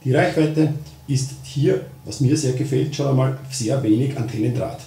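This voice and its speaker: A man's voice: medium pitch, moderate pace, gravelly, mellow. Austrian man explaining, in an echoey room. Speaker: presenter of a product tutorial. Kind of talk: spoken how-to explanation of a gadget. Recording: roomy, echoing sound.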